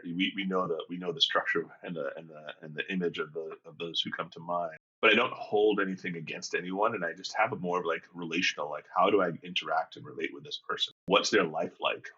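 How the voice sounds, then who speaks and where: Male coach, 50s, low husky voice with a bright edge, dull lo-fi recording. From England and Jamaica. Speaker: executive and leadership coach. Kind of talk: reflective conversational storytelling.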